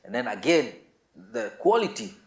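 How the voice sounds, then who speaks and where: A man's voice: medium pitch, very animated, normal speed. A middle-aged man with a Kenyan accent, reminiscing as a musician guest in a casual interview. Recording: echoey room.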